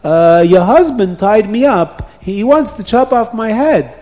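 Desperate captive man, played by a storyteller: raised pitch, urgent pleading, roomy hall reverb. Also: animated, slow, mellow.

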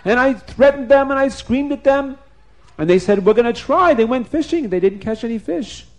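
A man's voice: high-pitched, wildly swooping pitch, normal speed, warm tone. A man voicing a bewildered, anxious royal officer, pleading and explaining himself in a storybook tale. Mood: anxious, defensive.

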